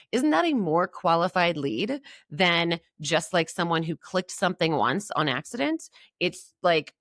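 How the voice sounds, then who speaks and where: Woman podcast guest, lower register, informal and opinionated, very dry recording. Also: a bit bright, dry recording. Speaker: podcast guest, marketing agency executive. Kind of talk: conversational podcast interview.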